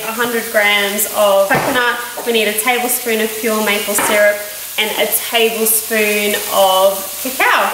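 A woman in her thirties with an Australian accent, casually explaining a cooking routine to camera in a home kitchen. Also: a little high. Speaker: health vlogger. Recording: echoey kitchen with background noise.

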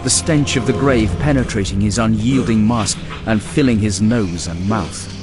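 Lowish male audiobook narrator, grave dramatic fantasy reading, music clearly behind him. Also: normal speed.

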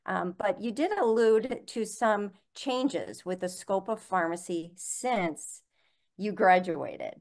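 A middle-aged woman hosting a webinar interview over a video call, speaking in a measured way with filler pauses. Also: medium pitch, a little mellow. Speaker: interview host. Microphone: webcam on a video call.

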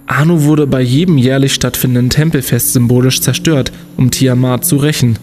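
An actor playing a clever, confident young student sleuth in a comic radio play, explaining things in a deliberate, declamatory way. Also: male voice, mid-range voice, fairly even intonation, darkish tone, natural voice. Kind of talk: German comedic audio drama acting.

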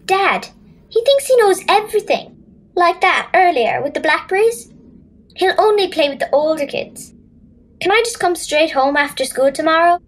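A woman playing an earnest, warm-hearted young Irish girl in a children's audio drama. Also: very high-pitched, lively intonation, normal speed. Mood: hurt.

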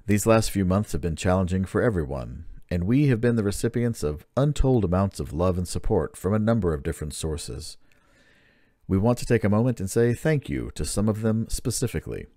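A man's voice: sits low, sing-song, brisk, dark tone, dry recording, clean studio sound. A man giving a sincere scripted announcement to listeners.

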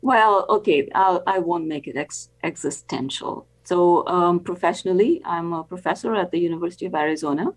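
An older woman, an academic guest, explains things on a video call in a careful, halting way with many pauses. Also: lowish voice, poor audio. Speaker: interview guest, professor and planetary scientist. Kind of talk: explanatory interview answers.

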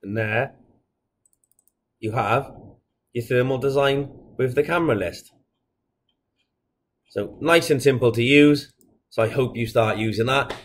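A man in his thirties giving a casual software tutorial, explaining each step in a plain, informal way as a product demonstrator. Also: medium pitch, a little hiss or hum. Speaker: product demonstrator for a security equipment supplier.

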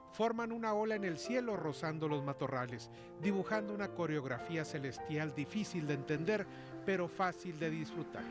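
Man narrating a nature report. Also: fairly high pitch. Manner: measured, descriptive. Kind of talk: scripted documentary narration. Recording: music bed under voice-over.

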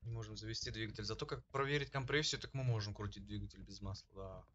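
Low-voiced man with dull lo-fi sound. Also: fast talker, a bit bright, slight background noise. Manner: informal, slangy, thinking aloud. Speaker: gaming video host. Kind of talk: casual let's-play commentary.

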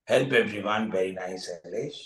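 A man's voice: lowish voice, moderate pace. A middle-aged man with an Indian accent speaks as a coach telling stories to students. He repeats phrases for emphasis and sounds encouraging. His voice rings in an echoey room. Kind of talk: improvised storytelling and online teaching. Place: indoor furnished room with curtains, echoey.

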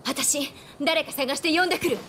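A woman's voice: high voice, normal speed, fairly bright tone, a little hiss or hum. A woman playing a spirited, caring young woman of old Japan in a video game, with a familiar, plain-spoken feminine manner. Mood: friendly, concerned. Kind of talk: video game cutscene acting.

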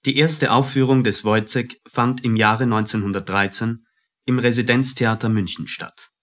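A man narrating a scripted documentary introduction in a measured, factual manner. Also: medium pitch, steady tone, a bit bright.

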